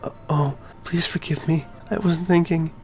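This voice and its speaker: A man voicing a shy, flustered, soft-spoken teenage girl who stammers apologetically, as in a video game. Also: on the high side, steady tone, moderate pace, soft background music, voice pushed up, close to an everyday voice. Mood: flustered, apologetic. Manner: stammering, hesitant.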